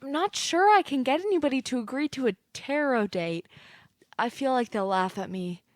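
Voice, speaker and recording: An actress plays a lovelorn woman in an audio drama, stubborn and easily exasperated. Her voice is high and recorded dry, with a petulant, dramatic delivery. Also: animated, normal speed, no echo. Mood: sheepish. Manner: dramatic, petulant, quaint mild oaths. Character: lovelorn, skeptical, exasperated female client, quaintly stubborn. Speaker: actor. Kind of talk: audio play acting.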